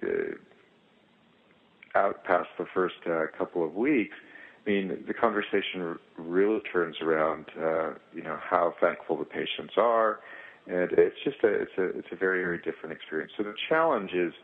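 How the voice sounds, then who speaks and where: A man with a very low voice explains his surgical experience on a conference call. He talks in halting stretches, pausing as he searches for the next phrase. The sound is narrow and thin, as over a telephone line. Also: expressive, creaky. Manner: halting, hesitant phrasing with pauses. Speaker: eye surgeon, guest speaker on a conference call.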